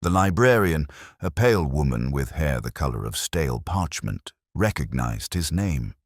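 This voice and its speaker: Man, extremely low voice, lively intonation, scripted detective narration, measured and brooding, literary. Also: no room sound, clean studio sound. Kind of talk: scripted first-person mystery narration.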